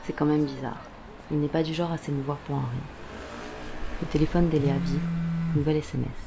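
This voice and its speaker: A woman's voice: sits low, steady tone, brisk, gravelly, noisy background. A woman reading scripted narration for an audio drama.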